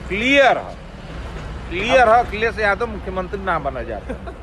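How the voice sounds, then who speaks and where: A man's voice: high-pitched, big pitch swings, moderate pace. A man from eastern Uttar Pradesh with a Bhojpuri-influenced accent, chatting casually and emphatically, repeating himself for stress. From eastern Uttar Pradesh, India. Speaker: college teacher and election duty worker. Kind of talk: casual chat. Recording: noisy outdoor street and vehicle sound.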